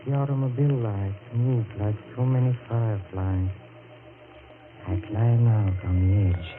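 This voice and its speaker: A man playing a tormented, guilt-ridden, lovesick killer in 1940s radio suspense, with a halting, melodramatic delivery. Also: fairly low pitch. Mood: brooding dread. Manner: halting, melodramatic, repeats words. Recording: muffled, noisy 1940s radio recording.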